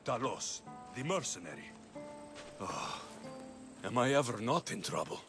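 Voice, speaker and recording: A man's voice: mid-range voice, big pitch swings, measured pace, music in the background. A man playing a tough young mercenary in an ancient Greek video game, dry and menacing. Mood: wry. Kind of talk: dramatic video game acting.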